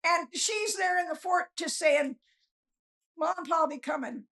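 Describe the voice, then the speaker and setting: A woman's voice: very high-pitched, measured pace, a bit bright. A woman chatting casually as an interview guest, rambling through stories in a folksy, self-deprecating way. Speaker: author being interviewed, guest. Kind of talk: casual, rambling storytelling chat.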